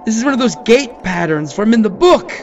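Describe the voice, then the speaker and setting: An actor playing an anxious, self-doubting ordinary man facing horror in an audio drama, talking haltingly like someone leaving a recorded message. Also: high voice, animated, creaky, a little mellow, faint music behind. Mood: urgent realisation. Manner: halting, nervous, conversational.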